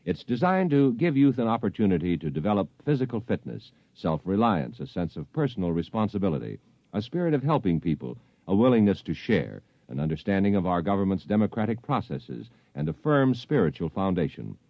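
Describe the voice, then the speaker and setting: A man reading network promos and notices in a brisk, formal 1950s radio style. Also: sits low, big pitch swings, dry recording. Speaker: network announcer. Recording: dull dry old radio broadcast.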